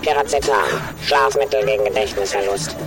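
A low male voice over clear music. An actor voices a dutiful computer assistant in a radio play, reeling off facts in clipped, impassive phrases. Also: a little fast, lightly acted. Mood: clipped, factual. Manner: clipped, impassive reciting of data and lists. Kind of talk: radio drama acting.

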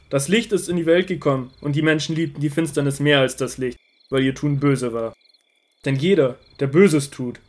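A man acting as an earnest male teacher in a biblical audio drama. His voice is fairly high for a man. He speaks with authority, setting out each statement in a measured, emphatic way. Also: a little mellow, close to an everyday voice. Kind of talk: dramatized scripture audio play.

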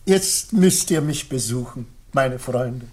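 An actor playing a pompous, self-important senator who fancies himself an artist, declaiming floridly in a German radio play. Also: male voice, mid-range voice, animated, slow pace, darkish tone, breathy edge. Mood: lofty admonishing.

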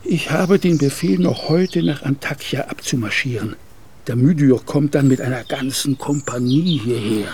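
A man playing an anxious, aggrieved Turkish gendarme in a radio drama, speaking in a halting, pleading way. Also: mid-range voice, darkish tone, natural voice. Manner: furtive, pleading, halting delivery. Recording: clearly noisy background.

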